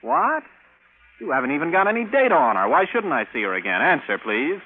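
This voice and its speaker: An actor playing a brash, wry bachelor rocket pilot and scientist in a 1950s radio drama, talking in a conversational way. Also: male voice, fairly high pitch, sing-song, brisk, slightly rough, full character voice. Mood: incredulous. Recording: tinny, noisy vintage radio broadcast.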